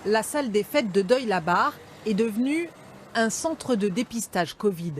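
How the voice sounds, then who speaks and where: A woman's voice: medium pitch, lively intonation, unhurried, a little hiss or hum. A woman reporter reading scripted narration for a television news report.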